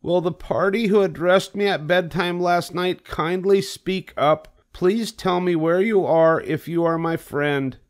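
A man voicing an eager young pig in a children's storybook, earnest, excitable and over-polite. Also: sits high, unhurried, few pauses, voice pushed up. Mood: loud, firm.

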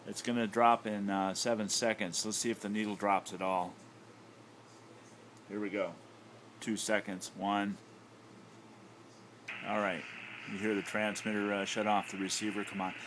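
American male hobbyist, lowish voice, casual hesitant walk-through, light background noise. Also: flat delivery, a little slow, long pauses. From United States. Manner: hesitant, thinking aloud. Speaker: amateur radio hobbyist. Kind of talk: casual off-the-cuff demonstration commentary. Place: indoors at a home radio desk. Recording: noisy handheld home recording.

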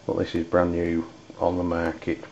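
A man with an English accent giving an unscripted product review in a hesitant, halting way. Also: sits low, completely flat delivery, darkish tone. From United Kingdom. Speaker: amateur radio reviewer. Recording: dull lo-fi audio with background noise.

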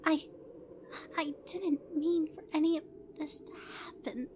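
A woman as a high-voiced, distraught young pony princess. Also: fairly even intonation, measured pace, darkish tone, slight background noise. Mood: stunned, near whisper. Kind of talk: fan fiction audio drama.

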